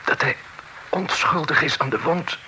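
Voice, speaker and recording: A slow, dying gentleman. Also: fairly high pitch, low-quality recording. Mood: weak, solemn. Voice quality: breathy, halting, weakened. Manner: labored, broken phrases. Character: dying wounded gentleman, proud, remorseful, confessing. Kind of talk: radio drama acting. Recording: radio recording with faint noise.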